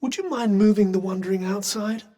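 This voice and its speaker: An actor playing a haughty, well-spoken talking magic cuff in a video game, with a British accent and dry, sarcastic, formal delivery. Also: male voice, high-pitched, a little fast, dark tone. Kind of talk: scripted video game companion dialogue.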